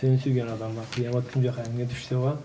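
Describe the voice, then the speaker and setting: A man's voice: mid-range voice, flat delivery, fast, dark tone. A man who is a native Karachay-Balkar speaker talking in an informal religious discussion. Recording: distant mic, noticeable background noise.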